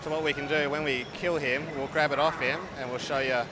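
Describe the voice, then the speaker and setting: A man's voice: on the high side, fairly even intonation, a little fast. A man giving a casual, informal live commentary as he demonstrates a game. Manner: informal, easygoing. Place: busy game expo show floor. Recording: loud noisy expo floor background.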